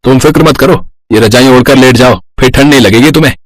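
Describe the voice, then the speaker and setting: An actor playing an easygoing, teasing young husband in a cartoon story. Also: male voice, mid-range voice, fast talker, no echo, lightly acted. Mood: reassuring.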